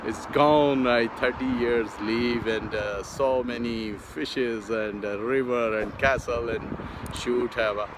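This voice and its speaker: A middle-aged man whose first language is Urdu, narrating a casual outdoor vlog from a city bridge in halting, broken English with many fillers. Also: medium pitch, steady tone. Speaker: vlogger. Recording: outdoor phone recording with street noise. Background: traffic and city street noise.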